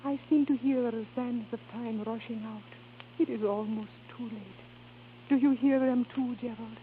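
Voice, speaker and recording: An actress playing a glamorous, teasing opera singer and estranged wife in a theatrical 1930s radio style. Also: female voice, on the high side, fairly even intonation, normal speed, natural voice. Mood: tender, playful. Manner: theatrical, coquettish. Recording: scratchy, tinny 1930s radio recording.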